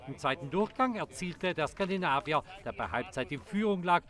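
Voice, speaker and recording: A male sports reporter narrates a ski race report in a formal, measured voice-over. His voice sits a little higher than most men's. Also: animated, fairly bright tone. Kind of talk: scripted news narration.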